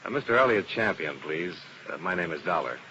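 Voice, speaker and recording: A muffled, noisy old radio recording carries a low-pitched American male detective. He is cool and wry, with terse, matter-of-fact delivery suited to a 1950s mystery serial. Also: expressive, a little fast, bright, forceful tone, full character voice. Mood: businesslike. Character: cool, wry male insurance investigator, narrating his case. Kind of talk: 1950s radio detective drama acting and narration.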